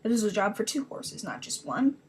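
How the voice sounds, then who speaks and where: A woman's voice: medium pitch, big pitch swings, a little fast, husky. A woman giving a dramatic reading of her own childhood story, rambling into self-mocking casual asides.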